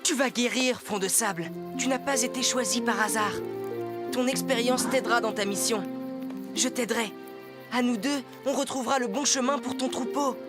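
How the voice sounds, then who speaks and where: Lady doing a brave little boy, highish voice, earnest and kind, cartoon, loud music behind. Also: fairly even intonation, moderate pace, lightly acted. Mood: reassuring. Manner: reassuring, earnest. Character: kind, brave young boy guide, calm and helpful. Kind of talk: dubbed cartoon acting. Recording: loud music under dialogue.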